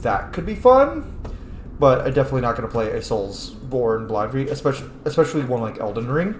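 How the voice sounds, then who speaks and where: A man's voice: medium pitch, reverberant, slight background noise. A man giving casual, chatty, rambling commentary as a video game streamer. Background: game music.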